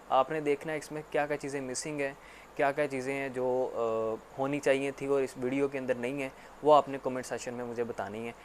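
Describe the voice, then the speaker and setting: A man giving a casual spoken English lesson, repeating himself often and filling pauses with "actually". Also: mid-range voice, a little hiss or hum. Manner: repetitive, filler-heavy explaining with frequent "actually". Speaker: English teacher.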